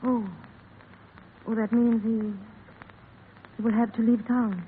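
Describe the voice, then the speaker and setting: An actress playing a proud, world-weary café woman in a measured, formal, restrained 1930s radio drama style. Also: a little high, little pitch movement. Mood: reserved, wistful. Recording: noisy, tinny 1930s radio broadcast.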